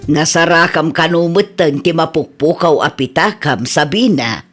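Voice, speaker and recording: A woman's voice: deep voice, measured pace, soft background music. A woman with an Ilocano Filipino accent acting a frank older village auntie in a radio comedy drama. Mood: reproachful.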